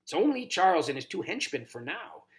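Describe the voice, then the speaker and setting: A man's voice: high-pitched, big pitch swings, brisk, voice pushed up. A man voicing a wise horned toad mentor in a children's storybook, grave and instructive with somber warnings. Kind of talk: audiobook character reading.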